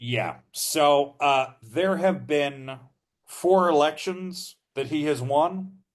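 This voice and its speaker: Man, podcast co-host, measured explainer, casual, filler-heavy. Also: fairly high pitch. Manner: measured, thinking aloud with many fillers.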